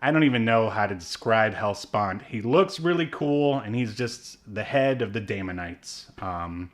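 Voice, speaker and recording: A man's voice: on the low side, lively intonation, measured pace. A man co-hosting a podcast, chatting casually and rambling with fillers and small laughs. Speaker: podcast co-host. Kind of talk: casual chat.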